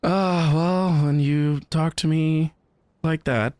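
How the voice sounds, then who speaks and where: A woman's voice: low-pitched, fairly even intonation, slow, darkish tone, no room sound. A woman giving casual, playful, teasing commentary as she reacts to a video game. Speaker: gaming reaction streamer. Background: faint game music.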